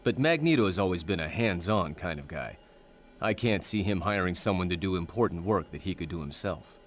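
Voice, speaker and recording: Deep-voiced, measured heroic ally. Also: male voice, lively intonation. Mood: thoughtful. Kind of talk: video game cutscene acting.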